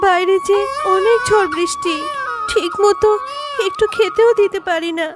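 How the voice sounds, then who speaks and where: A woman's voice: extremely high pitch, moderate pace, loud music behind the voice. A woman voicing an anxious, tearful young mother in a melodramatic cartoon fairy tale, with a plaintive, pleading manner.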